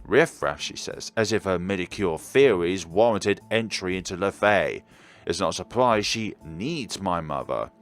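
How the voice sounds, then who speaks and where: A man's voice: low-pitched, lively intonation, a little slow, faint music behind. A man reading a visual novel aloud and giving blunt opinions. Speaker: gaming YouTuber.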